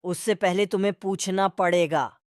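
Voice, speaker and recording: A woman's voice: fairly low pitch, steady tone, normal speed, darkish tone, no echo, clean studio sound, close to an everyday voice. A woman voicing a benevolent, commanding god in a cartoon, solemnly giving instructions. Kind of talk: cartoon voice acting.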